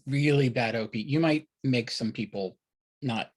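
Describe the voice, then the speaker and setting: A woman's voice: rumbling low, measured pace, a little mellow, breathy edge. A woman hosting a casual interview in a conversational way. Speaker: host.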